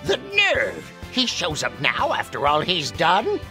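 A man's voice: high-pitched, wildly swooping pitch, slow, gravelly, over music, full character voice. A man playing a two-faced old male deity in an anime game, crotchety and fawning. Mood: grumbling.

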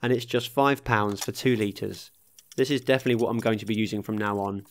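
A man reading a scripted voice-over for his own car restoration video, calmly explaining each workshop step. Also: lowish voice, brisk. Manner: steady, matter-of-fact explaining of workshop steps. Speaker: host of a car restoration channel.

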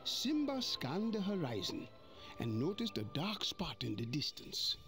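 An actor playing an old male mandrill elder, narrating a storybook read-along in an expressive way. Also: fairly high pitch, unhurried, vocal fry, full character voice. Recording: music playing behind.

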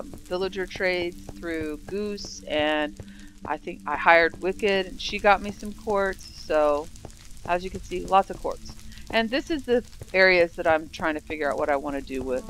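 A woman's voice: lowish voice, a bit bright, poor audio. A woman giving casual, rambling gameplay commentary while touring her builds, a little congested from sinus trouble. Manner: rambling, thinking aloud. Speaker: game server builder. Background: background music.

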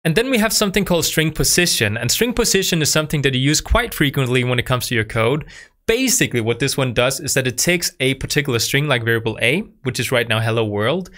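Bright-voiced young man teaching code. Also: medium pitch, animated, quick pace. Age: 20s. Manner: patient, repeats himself for beginners. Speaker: programming tutor. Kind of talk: explaining code to camera. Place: dark studio, indoors. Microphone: studio mic on boom arm.

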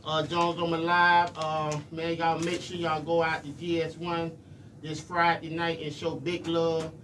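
A man's voice: fairly high pitch, monotone, measured pace, a bit bright. A middle-aged man hosts a casual live radio show, talking colloquially and earnestly and repeating himself. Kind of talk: casual live radio talk with shout-outs. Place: small radio studio, indoors. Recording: noisy, distant room microphone.

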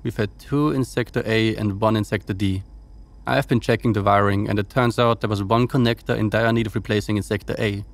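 An actor playing a wry, dutiful officer logging reports on a space station in an audio drama, with dry, teasing delivery. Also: male voice, fairly low pitch, steady tone, a little fast, vocal fry. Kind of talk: audio drama log monologue and dialogue.

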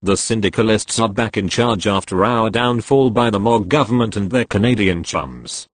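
Man acting as male coup leader, low rough voice, dry sound, solemn measured proclamation, scripted drama. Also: dry recording, close to an everyday voice. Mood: solemn triumph. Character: triumphant male revolutionary leader announcing a coup.

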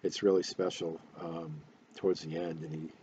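Stuffed-up older man, deep dark voice. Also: little pitch movement, muffled recording, slight background noise. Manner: halting, many fillers and restarts. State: stuffy nose. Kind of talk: casual talk to camera. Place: small room at home, indoors. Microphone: webcam close up.

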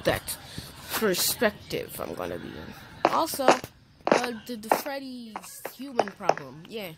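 A young woman talking casually and haltingly as a game video creator, with stammers. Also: medium pitch, huge pitch swings, gravelly. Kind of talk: casual game roleplay commentary. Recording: noisy home screen recording, clicks.

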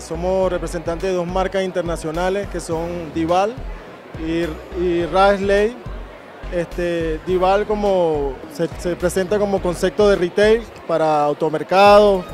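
A company director answers interview questions in a fairly high voice. He pauses and hesitates often as he explains. Faint music plays behind him. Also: darkish tone. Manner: hesitant, many fillers.

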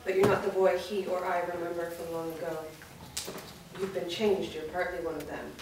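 A guarded young woman speaks firmly and warily, voiced by an actress at a script reading. Her voice sits slightly low. It comes from far off in a roomy studio, with noticeable noise behind it. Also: level tone, normal speed, lightly acted. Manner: firm, guarded. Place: large indoor rehearsal studio. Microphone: far camera mic. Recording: noisy echoey studio from far off.

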